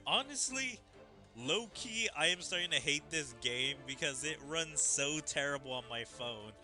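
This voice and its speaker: A man doing casual gaming commentary as a streamer, with an exasperated, animated delivery over game music. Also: on the high side, measured pace. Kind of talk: casual game playthrough, reading dialogue aloud.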